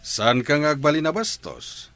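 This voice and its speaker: A man's voice: a little high, huge pitch swings, normal speed, gravelly, music in the background, heavily acted. A man playing a strict middle-aged father in a radio drama, firm and commanding. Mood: stern.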